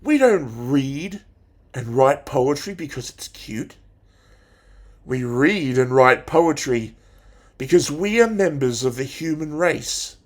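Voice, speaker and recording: A man playing a passionate, theatrical English teacher in a dramatic monologue, declaiming deliberately with pauses. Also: medium pitch, expressive. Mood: earnest, hushed passion. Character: passionate, theatrical male English teacher rousing his pupils.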